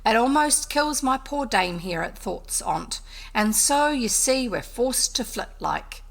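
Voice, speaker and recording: A woman's voice: fairly high pitch, lively intonation, slow, vocal fry, full character voice. A woman voicing a weary, put-upon old male farmer, reading his dialect lines aloud in her own voice without putting on an accent. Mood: complaining.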